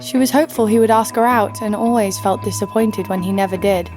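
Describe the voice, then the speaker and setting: A woman's voice: mid-range voice, brisk, close to an everyday voice. Woman acting as sassy female storyteller, faint music. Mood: storytelling. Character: sassy, snarky female sidekick-owning storyteller, fond but teasing. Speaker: narrator and lead. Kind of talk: scripted first-person story narration.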